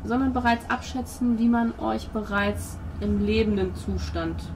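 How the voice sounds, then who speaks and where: A woman's voice: fairly high pitch, measured pace. A woman narrating a tabletop role-playing game as its game master. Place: room with a tabletop gaming group. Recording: clearly noisy room recording.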